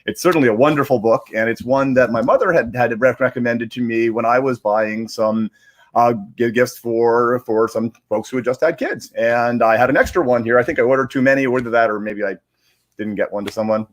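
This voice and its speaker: A man chatting casually on a livestream, explaining in a halting, rambling way and repeating words as he searches for phrasing. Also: medium pitch. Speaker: digital media strategist, guest reader.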